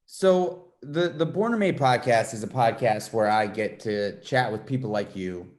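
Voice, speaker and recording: A man with a lowish voice hosts a casual podcast interview. He rambles easily and leans on fillers as he talks. He is a New York City native. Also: a little mellow. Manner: loose and rambling, full of fillers. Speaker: podcast host.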